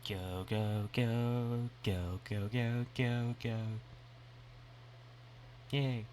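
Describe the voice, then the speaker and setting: A man's voice: medium pitch, steady tone, extremely slow, slight background noise. A man casually commenting on a game live stream, playful and given to repetitive chanting. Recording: loud music behind the voice.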